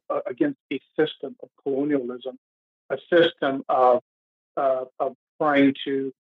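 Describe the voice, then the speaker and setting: A man speaking as a podcast guest in unscripted discussion, halting and hesitant, often repeating words. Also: fairly high pitch, husky. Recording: dull lo-fi audio.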